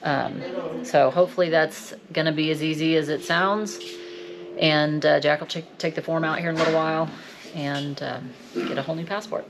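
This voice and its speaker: Low, creaky-voiced woman, upset. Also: fairly even intonation, moderate pace, a crowd in the background. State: upset, anxious. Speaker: travel vlogger. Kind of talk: candid vlog talk to camera.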